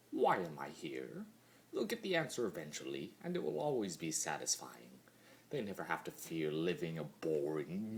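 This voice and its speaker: A man's voice: low-pitched, a bit bright, a little hiss or hum, full character voice. A man voicing a bitter, sarcastic chaos creature from a pony cartoon in a theatrical dramatic monologue. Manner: theatrical, sarcastic, swings between mutters and mocking outbursts. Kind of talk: dramatic monologue reading of fan fiction.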